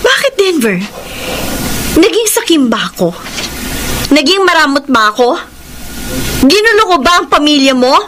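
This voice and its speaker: Radio drama mistress: impassioned and emphatic, high and animated delivery, pleading melodrama. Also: female voice, slight background noise, exaggerated voice. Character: impassioned mistress pleading and defending her love for a married man. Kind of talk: Filipino radio drama acting.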